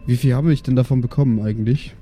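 Dark-toned man at a gaming table. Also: mid-range voice, steady tone, fast. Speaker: player in a tabletop audio play. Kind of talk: casual playful chat.

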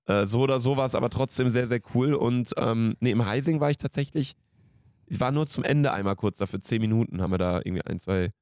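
A man's voice: medium pitch, fast, darkish tone, no room sound, clean studio sound. A man in his late twenties co-hosting a podcast in casual, slangy, jokey banter. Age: late 20s. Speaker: podcast co-host.

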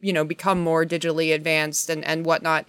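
A woman's voice: low-pitched, level tone, a little fast, a bit bright, clean tone, dry recording. Earnest woman guest, dry close sound. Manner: earnest, wordy, searching for words with restarts. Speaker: podcast guest, performer. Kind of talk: casual, reflective podcast conversation.

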